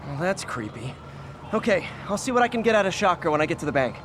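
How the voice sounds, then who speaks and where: Brief: wisecracking young hero, a little high, self-mocking, game score underneath. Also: male voice, big pitch swings, fast talker, background noise. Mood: wry. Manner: quippy, self-deprecating. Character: young male superhero, wisecracking but weary. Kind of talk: video game dialogue. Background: game music.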